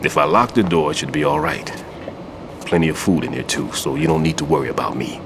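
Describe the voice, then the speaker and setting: An actor playing a seasoned older male bomb expert and wary mentor with a measured, grave delivery. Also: extremely low pitch, vocal fry, background noise. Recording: game cutscene with music.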